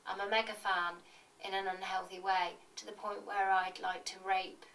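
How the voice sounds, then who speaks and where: In a very echoey space, away from the mic, a woman reads a script. Her voice crackles with fry and stays flat, giving an awkward, deadpan feel. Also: mid-range voice, normal speed. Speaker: reader of fans' confessions. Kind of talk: reading a scripted monologue of fan confessions. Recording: echoey room, distant mic, faint noise.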